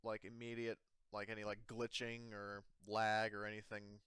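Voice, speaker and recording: A man improvising tabletop game narration as game master in a casual, halting, self-correcting way. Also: fairly low pitch, completely flat delivery, fairly bright tone, clear, resonant tone, no room sound.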